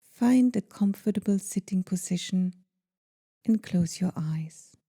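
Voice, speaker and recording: A woman guiding a meditation in a gentle, soothing, deliberate manner, leaving pauses between phrases. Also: mid-range voice, breathy edge. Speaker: meditation guide. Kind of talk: guided meditation.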